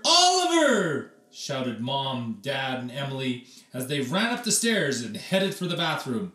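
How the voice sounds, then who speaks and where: A man narrates a picture book in a slow, deliberate way. His pitch is very animated, with theatrical emphasis and pauses, and the room adds a clear echo. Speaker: read-aloud storyteller, teacher. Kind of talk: expressive children's storybook narration.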